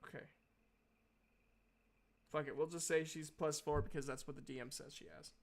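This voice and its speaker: A man running a tabletop game as game master, explaining rules in an informal, halting way. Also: fairly high pitch, fairly even intonation.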